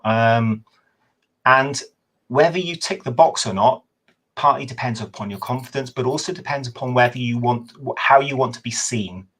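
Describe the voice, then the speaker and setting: A man, a history professor, giving a measured, thoughtful explanation over a video call, sometimes correcting himself mid-sentence. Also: mid-range voice, a bit bright. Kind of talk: explanatory talk in a webinar.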